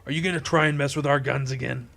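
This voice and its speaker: A man voicing a gruff, suspicious, hotheaded thug in a story, grunting and blunt. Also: medium pitch, moderate pace.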